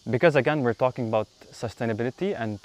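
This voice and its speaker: Man in his thirties from Lebanon, yoga teacher being interviewed, unhurried and reflective, lapel mic outdoors. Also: medium pitch. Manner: thoughtful, unhurried, with frequent fillers. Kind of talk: casual interview. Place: outdoors in a bamboo grove.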